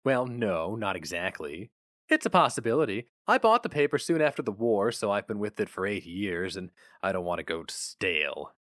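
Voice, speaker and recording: A man's voice: lowish voice, big pitch swings, normal speed, a bit bright, no room sound, studio quality. A man voicing an urbane young male job applicant who is polite, eager and affectedly casual. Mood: earnest, polite.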